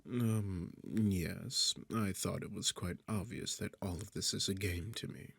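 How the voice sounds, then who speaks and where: A man voicing a smug, sarcastic demon king in an ASMR roleplay, with a husky, gravelly low voice and a mocking, theatrical delivery. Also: steady tone, unhurried, fairly bright tone, no echo, clean studio sound, heavily acted. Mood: haughty, commanding. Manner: mocking, teasing, condescending, theatrical. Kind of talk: ASMR roleplay audio drama.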